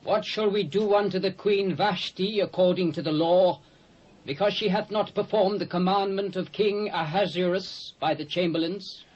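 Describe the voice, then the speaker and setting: A man's voice: high-pitched, steady tone, moderate pace, a little mellow. A British actor playing an affronted king, declaiming imperiously in a dramatised scripture reading. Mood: affronted, demanding. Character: affronted Persian king demanding judgment from his advisers. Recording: dull lo-fi old cassette transfer.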